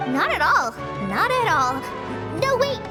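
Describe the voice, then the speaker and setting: A woman's voice: very high-pitched, sing-song, unhurried, in a large hall, over loud music, full character voice. A woman voicing a modest, earnest teenage girl ranger in a video game, shy, polite and a little hesitant. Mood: flustered, bashful. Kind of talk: animated video game voice acting.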